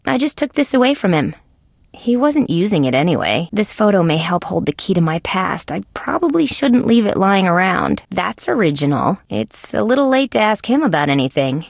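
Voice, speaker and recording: An actress playing a wry, level-headed female insect detective in a scripted video game, with a deadpan, dryly sarcastic manner. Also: on the low side, creaky, no echo, studio quality. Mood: matter-of-fact. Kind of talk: scripted adventure game voice lines.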